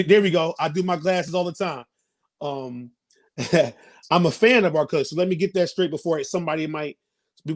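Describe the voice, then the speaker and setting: A man in his thirties with a slightly high voice talks casually as an interview guest. He gives his opinions in a halting way, restarting and repeating phrases while he works toward his point. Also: a little mellow. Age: 30s.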